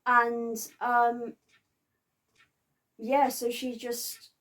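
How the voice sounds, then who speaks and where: A woman chatting casually to viewers as a vlogger, halting and sometimes stumbling over words. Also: high-pitched. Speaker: vlogger reviewing a theatre visit. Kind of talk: casual unscripted chat to viewers.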